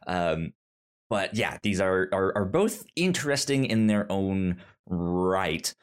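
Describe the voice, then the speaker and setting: A man's voice: low voice, sing-song, slow pace, smooth. A man hosting a podcast in casual conversation, with a stutter that repeats sounds and words. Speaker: podcast host.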